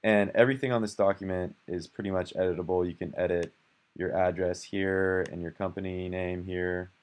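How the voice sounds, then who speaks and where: A young man with a deep, low voice talks through a screen recording at a relaxed pace. He sounds unscripted, with plenty of "um"s and restarts, and mouse clicks are heard behind him at a desk mic. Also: normal speed. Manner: relaxed and conversational, with fillers and self-corrections. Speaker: software developer demoing his app. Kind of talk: casual screencast walkthrough.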